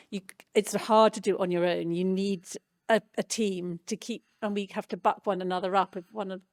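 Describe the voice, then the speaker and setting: A woman's voice: medium pitch, dry recording. A woman with a mid-range voice gives reflective interview answers. She comes across as earnest, and the recording is very dry. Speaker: film director and writer.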